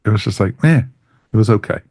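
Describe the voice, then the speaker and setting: A man with a low-pitched voice hosts a casual review chat. He speaks informally as he steers the discussion. The sound is dry, with very little echo. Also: lively intonation, fast talker, vocal fry, a little mellow. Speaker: podcast host.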